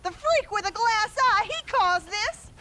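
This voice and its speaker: A woman's voice: very high-pitched, lively intonation, measured pace, background noise. A woman playing an accusing, spiteful townswoman in a comic game cutscene. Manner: accusing, insulting. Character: shrill, spiteful hillbilly townswoman.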